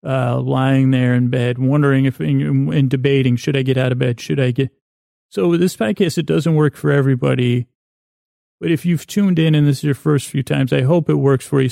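Creaky-voiced man rambling soothingly. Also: mid-range voice, dark tone, no echo, studio quality. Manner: hesitant, meandering, self-correcting; lulling, soothing. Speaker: sleep podcast host. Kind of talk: rambling bedtime monologue.

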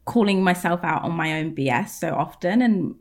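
A woman in her thirties with a slightly low voice talks as a podcast guest. She speaks candidly, thinking aloud as she goes, with plenty of ums and restarts. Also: animated, normal speed. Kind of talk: casual interview chat.

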